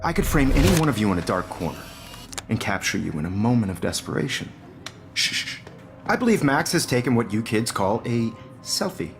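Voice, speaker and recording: A man's voice: medium pitch, very animated, brisk, big-room sound, over music. An actor playing a smug, condescending and menacing male teacher in a video game, with a theatrical, mocking manner. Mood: lecturing, self-satisfied.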